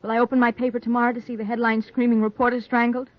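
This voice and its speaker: Woman playing a worried noir wife, higher voice, pleading, melodramatic, muffled 1940s radio recording. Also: flat delivery, racing, dry recording, natural voice. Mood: anxious, weary. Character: anxious, pleading young wife of a reporter. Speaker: actress in radio drama.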